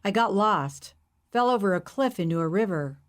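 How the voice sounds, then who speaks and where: A woman's voice: medium pitch, expressive, normal speed, lightly acted. A woman voicing an exhausted, apologetic man who is lost and far from home. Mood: weary. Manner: weary, apologetic. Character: exhausted, lost middle-aged European man.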